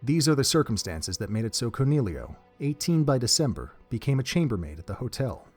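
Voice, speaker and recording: A man narrating a scripted story with an expressive, lilting delivery. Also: medium pitch, brisk, breathy edge. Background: faint music.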